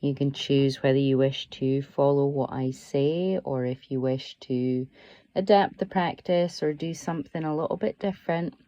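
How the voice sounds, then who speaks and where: A woman guiding a relaxation meditation as a yoga teacher, soothing and unhurried, offering gentle, permissive instructions. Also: a bass voice, no room sound, muffled recording.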